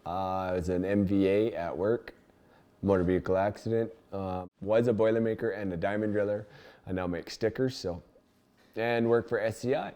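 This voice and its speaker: Casting note: man, thirties, low voice, casual and matter-of-fact, interview. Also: fairly even intonation, normal speed, mellow. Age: 30s. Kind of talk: documentary interview.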